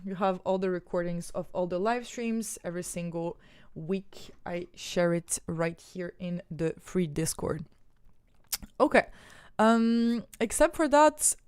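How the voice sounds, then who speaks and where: A woman's voice: medium pitch, clear, resonant tone, no echo, studio quality. A young woman from Ukraine with a mixed French and Ukrainian accent, explaining trading charts on a live stream and answering viewers' questions. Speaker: trading educator.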